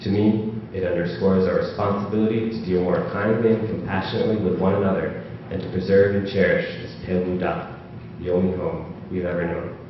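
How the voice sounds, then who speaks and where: A man's voice: low voice, fairly even intonation, fairly quick, hardly any pauses, slight background noise. A man speaking from the floor at a panel event, hesitant with many fillers, reading quotes aloud with more force. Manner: hesitant with many ums, rising and louder when quoting. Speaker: audience member. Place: large auditorium. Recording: echoey hall from far off, dull.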